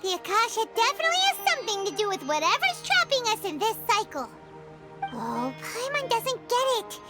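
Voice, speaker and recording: A woman voicing a tiny, childlike, excitable fairy companion in an anime video game, exclamatory and dramatic. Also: very high-pitched, a little fast. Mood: puzzled. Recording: background music behind the voice.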